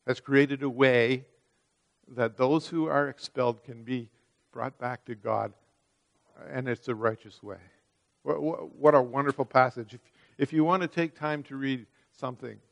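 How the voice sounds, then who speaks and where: An earnest male preacher with a voice of ordinary pitch. He reads scripture and then explains it at length, swelling louder on key words and dropping quiet between them. He often stumbles and repeats a word as he restarts a thought. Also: gravelly. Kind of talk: sermon with scripture reading.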